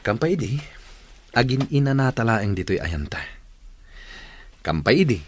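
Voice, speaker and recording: An actor playing a cautious, scheming thief who leads, in an Ilocano radio drama, with an Ilocano accent. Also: male voice, medium pitch, big pitch swings, unhurried, a little mellow, a little hiss or hum. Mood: cautious, conspiring.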